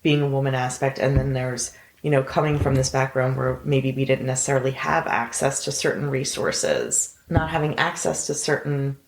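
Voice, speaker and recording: A woman co-hosting a podcast, speaking in a measured, reflective way. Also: very deep voice, a little hiss or hum. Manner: measured, reflective, agreeable backchannels. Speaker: podcast co-host. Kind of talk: casual conversational chat. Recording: echoey room.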